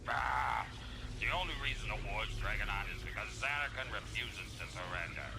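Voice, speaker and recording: An actor playing a hard, accusing soldier in a dramatic video game scene. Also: male voice, on the low side, expressive, moderate pace, raised voice. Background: game music.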